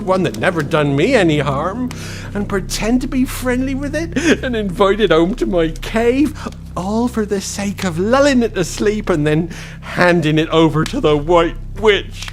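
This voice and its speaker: An actor playing a timid, guilt-ridden fawn in a radio drama, faltering and plaintive. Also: male voice, high voice. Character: tearful, guilt-ridden male fawn, timid and self-reproaching.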